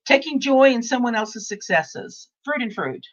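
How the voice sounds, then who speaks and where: A woman's voice: a little high, expressive, moderate pace, muffled recording. A woman in her sixties hosting a casual talk show, rambling and repeating herself with many restarts. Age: 60s. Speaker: host. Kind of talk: casual podcast chat.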